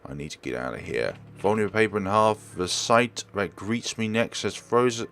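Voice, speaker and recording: A man's voice: lowish voice, lively intonation, normal speed, fairly bright tone, a little hiss or hum. A man narrating a horror game as a let's player, reading the script aloud theatrically and adding his own commentary.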